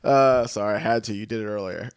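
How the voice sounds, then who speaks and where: A man's voice: lowish voice, brisk, clear, resonant tone, no echo, clean studio sound. A man hosting a podcast in a laid-back, joking casual chat, with a stuffy, nasal voice and easy swearing. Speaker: podcast host.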